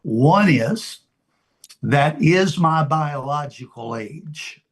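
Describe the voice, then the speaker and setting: A man in his seventies preaching an informal sermon as a pastor, deliberate and emphatic, pausing and repeating his phrases. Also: a little high, lively intonation. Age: 70s.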